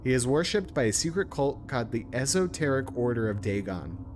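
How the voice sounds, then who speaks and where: A man's voice: mid-range voice, very animated, fairly quick, noisy background. A man streaming a game, commenting casually and reading text aloud in an informal, sometimes stumbling way.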